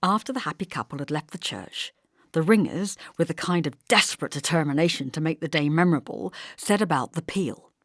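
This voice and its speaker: Female audiobook narrator, literary fiction. Lower register, measured but expressive delivery, close dry sound. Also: dry recording.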